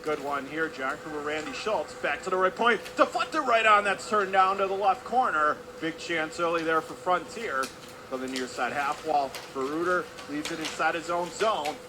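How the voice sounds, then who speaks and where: A man's voice: fairly high pitch, moderate pace, background noise. A man doing live radio play-by-play of ice hockey in clipped running phrases from an indoor arena.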